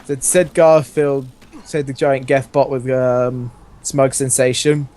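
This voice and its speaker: A man's voice: medium pitch, little pitch movement, slow, mellow. A man hosting a comic read-aloud of fan fiction, often breaking into laughter between bits of casual banter. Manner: giggly, often breaking into laughter while reading.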